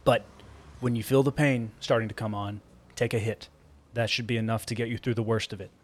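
A man's voice: lowish voice, steady tone, a little fast, natural voice. Narrator acting curt young man, fugitive, firm instructions, kind underneath, audiobook dialogue. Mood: quiet, firm. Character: terse, demanding young man on the run, secretly kind.

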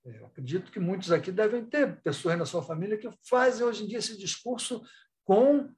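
A man with a Brazilian accent speaking spontaneously as an academic in an online panel, halting and discursive, often rephrasing and adding tag questions. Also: high-pitched, lively intonation, a little mellow. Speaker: academic researcher and thesis supervisor.

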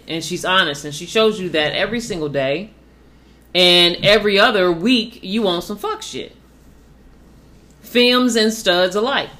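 A woman's voice: fairly low pitch, fairly bright tone. A woman co-hosting a podcast, giving blunt, profane relationship advice in a casual, preachy way. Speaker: podcast co-host.

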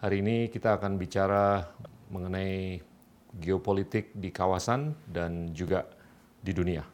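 A middle-aged man with a fairly low voice hosts the interview. He asks his questions haltingly, doubling back on words and filling pauses as he goes. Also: steady tone. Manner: halting, repeats words and fillers. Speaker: host. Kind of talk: interview hosting.

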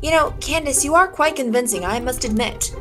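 A sharp, confident lady detective acted by a woman in a 1940s-style radio whodunit, low for a woman's voice or near the middle. She presses with pointed, theatrical questions while music plays clearly behind her. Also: fairly quick, close to an everyday voice. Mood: probing, insistent. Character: sharp, confident female detective interrogating suspects. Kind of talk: old-time 1940s radio detective drama acting.

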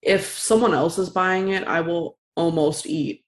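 A woman's voice: fairly low pitch, fairly even intonation, measured pace. A woman chatting casually as a podcast co-host. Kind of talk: casual chat.

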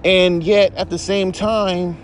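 A man with a baritone voice hosting a podcast, ranting in a heated, profane, rambling way. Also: steady tone, slow pace, a little hiss or hum. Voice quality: deep baritone voice. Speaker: podcast host. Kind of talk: casual podcast rant.

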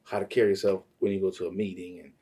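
A man's voice: sits low, flat delivery, rapid-fire, mellow, slightly rough, far from the mic. A man from Trinidad chatting casually as an interview guest, rambling, slangy and given to swearing, a little away from the mic. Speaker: podcast guest, music producer and songwriter.

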